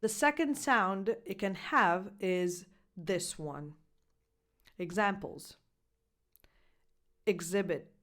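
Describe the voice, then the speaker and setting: A young woman with an Italian accent, giving a scripted English pronunciation lesson as a coach, speaking clearly and slowing down to model words. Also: medium pitch, a bit bright. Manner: clear, deliberate, slows down to spell out words.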